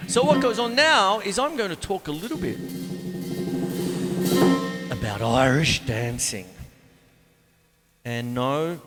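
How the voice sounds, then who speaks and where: Male drum teacher, chatty and jokey, a touch high-voiced, reverberant home studio with faint music. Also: a bit bright. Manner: chatty, rambling, jokey asides. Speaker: drum teacher and show host. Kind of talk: casual live-to-camera drum lesson.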